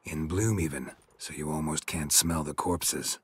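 A man playing a stoic, weary monster-hunting warrior in a video game, gravelly, terse and deadpan. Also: rumbling low, measured pace, no echo, full character voice. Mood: dry, guarded. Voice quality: gravelly, husky. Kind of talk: video game cutscene acting.

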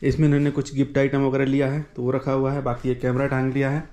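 A man's voice: medium pitch, completely flat delivery, fast, dark tone. A man talking casually to viewers as a travel vlogger, informal and colloquial. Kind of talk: casual vlog chat to camera.

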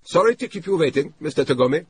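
Male narrator playing a high, brisk German clerk on the phone, stiffly polite. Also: expressive, background noise, lightly acted.

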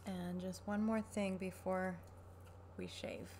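A woman in her thirties speaking softly, quietly and soothingly in a creaky voice, as a barber in an ASMR personal-attention roleplay. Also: on the low side, level tone, takes it slowly. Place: small furnished room at home, indoors. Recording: noisy webcam-style home recording.

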